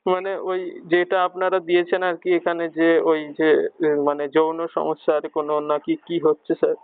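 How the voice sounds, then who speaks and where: A man's voice: fairly high pitch, monotone, moderate pace, smooth, dry recording. A man making a prank phone call, hesitant, rambling and pleading as he poses as a worried parent. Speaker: prank caller.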